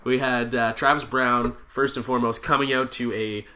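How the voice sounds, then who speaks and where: A man hosting a casual sports podcast, hoarse and nasal from a cold, chatty and quick to laugh. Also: mid-range voice, fairly even intonation, bright, forceful tone. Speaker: podcast host. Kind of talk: casual chat.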